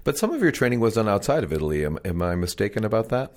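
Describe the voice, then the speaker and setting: A man's voice: lowish voice, big pitch swings, rapid-fire, a little mellow. A man interviewing a doctor, asking curious, encouraging questions with some hesitant fillers.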